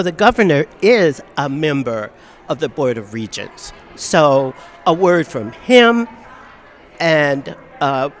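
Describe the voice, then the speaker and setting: Older woman, professor type, earnest and measured, repeats key phrases, recorded outdoors. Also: deep voice. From Southern California. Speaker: professor interviewed on a strike. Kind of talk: outdoor news interview. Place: outdoors on a university campus.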